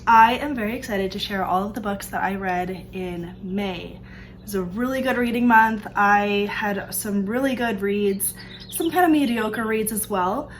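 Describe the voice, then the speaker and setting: A woman's voice: mid-range voice, moderate pace, a little hiss or hum. A young woman with a slightly stuffed-up voice from allergies, chatting casually about books she read, full of "like" and "um". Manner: chatty, upbeat, frequent "like" and "um". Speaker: book vlogger. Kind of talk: casual book review chat. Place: room with open sliding door. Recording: faint outdoor ambience, birds, planes.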